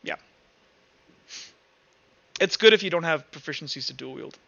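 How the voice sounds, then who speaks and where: A man running a tabletop game as game master, explaining casually and haltingly, rephrasing as he goes. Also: a little high, animated, vocal fry, pushed and bright, no echo, muffled recording.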